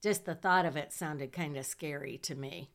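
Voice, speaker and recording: A middle-aged woman from southern Michigan with a nasal voice, hosting her own podcast in a chatty, self-deprecating way. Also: low-pitched. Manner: slow, chatty, self-deprecating with small laughs. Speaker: podcast host. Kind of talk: casual personal monologue.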